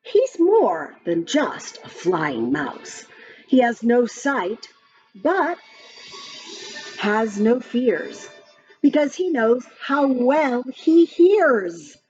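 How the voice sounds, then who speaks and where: A woman reading a children's picture book aloud in a gentle, expressive storytime voice. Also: on the high side, very slow, muffled recording, faint music behind.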